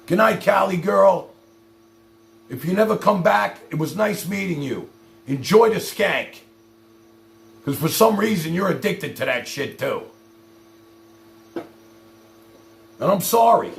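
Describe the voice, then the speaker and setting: A man's voice: sits high, animated, slow pace, gravelly, pauses often. A man hosting a livestream, ranting at his viewers in a profane, confrontational, exasperated way with blunt rhetorical questions. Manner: addresses viewers directly with repeated rhetorical questions. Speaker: livestream host.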